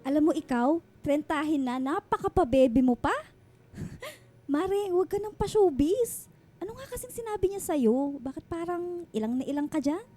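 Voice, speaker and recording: A woman's voice: high-pitched, lively intonation, normal speed, mellow. A Filipino actress playing a nosy, matchmaking friend in her thirties in a radio drama, gushing and bantering in slangy exclamations. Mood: teasing, prodding. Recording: music bed under the dialogue.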